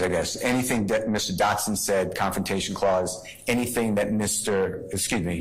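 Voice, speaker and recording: Man, defense attorney, low voice, halting explanatory delivery, legal argument in a courtroom. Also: rough recording.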